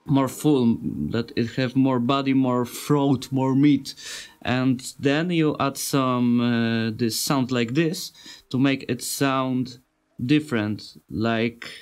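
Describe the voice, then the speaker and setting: A man with a non-native English accent giving an informal tutorial as a sound designer, speaking in simple, halting phrases and searching for words. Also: mid-range voice, smooth. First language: not English. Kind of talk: informal software tutorial narration.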